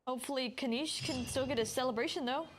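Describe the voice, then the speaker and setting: A woman's voice: high voice, fast. A woman streamer giving casual commentary as she plays a video game. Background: faint game music.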